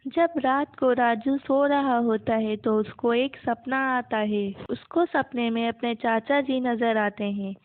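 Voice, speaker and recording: A woman's voice: fairly high pitch, fairly even intonation, slow pace, hardly any pauses, no echo. A woman narrating a scripted children's moral story as the storyteller. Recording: muffled, narrow phone-like sound.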